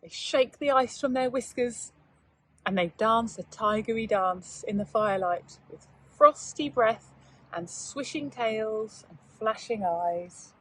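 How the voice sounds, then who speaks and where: A woman speaks as a teacher to young children, reading and explaining at a measured tread. Her voice is fairly high with a husky texture, recorded very dry. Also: no echo, low-quality recording. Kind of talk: children's read-aloud and informal lesson talk.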